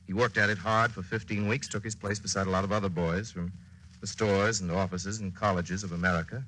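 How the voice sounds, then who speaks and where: A man's voice: low-pitched, steady tone, fairly quick, fairly bright tone, slight background noise, low-quality recording. A male actor playing a wry, folksy, dramatic storyteller narrating a wartime radio play in 1940s style. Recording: old radio recording with music.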